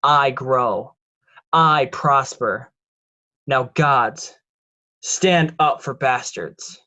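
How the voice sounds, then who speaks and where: A man playing a scheming, resentful illegitimate son in a deliberate, declamatory Shakespearean drama reading. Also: mid-range voice, pushed and bright. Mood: bitter, defiant. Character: scheming, resentful bastard son, smooth deceiver.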